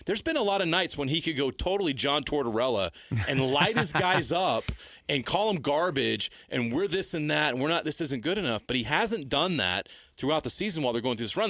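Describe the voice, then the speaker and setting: Bright, forceful male sports host. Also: mid-range voice, dry recording. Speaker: sports talk show host. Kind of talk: conversational sports talk.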